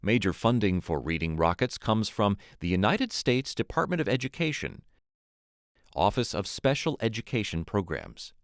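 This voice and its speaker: Lively, dry-sounding male announcer. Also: on the low side, moderate pace, no room sound. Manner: clear, even announcer delivery. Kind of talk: scripted funding credits.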